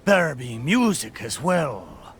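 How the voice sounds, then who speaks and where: Animated, blustering boat. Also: male voice, mid-range voice, a little hiss or hum. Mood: musing, hearty. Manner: blustering, drawn-out exclamations, pirate talk. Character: talking pirate boat, hearty, excitable old seafarer. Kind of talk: theatrical video game character acting.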